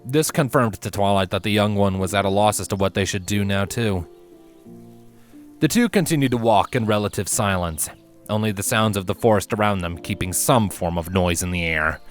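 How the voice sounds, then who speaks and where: A man reading a fiction story aloud as its narrator, in an expressive scripted style. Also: fairly low pitch, normal speed, faint music behind.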